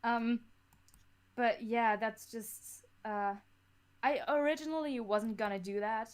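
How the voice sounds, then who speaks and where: High-voiced, hesitant young woman. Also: slow pace, smooth. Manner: hesitant, rambling. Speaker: podcast guest, creator of an audio drama. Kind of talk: casual interview chat.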